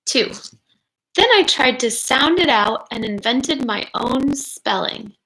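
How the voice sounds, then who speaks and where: Slow, bright-voiced woman reading aloud to children. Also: a little high, poor audio. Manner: expressive, encouraging, pausing to wonder aloud. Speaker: educator reading a picture book aloud to children. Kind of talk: children's read-aloud with asides to listeners.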